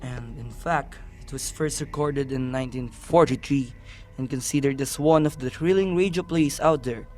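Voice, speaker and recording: A man's voice: mid-range voice, normal speed, a little mellow, faint music behind. A man hosting a radio show as DJ, presenting from a script. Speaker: radio DJ host.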